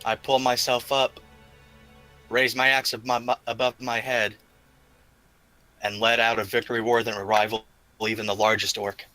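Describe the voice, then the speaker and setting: A man's voice: mid-range voice, flat delivery, moderate pace, pauses often, sharp tone, faint music behind, poor audio. A man chatting casually as a player in a tabletop role-playing game.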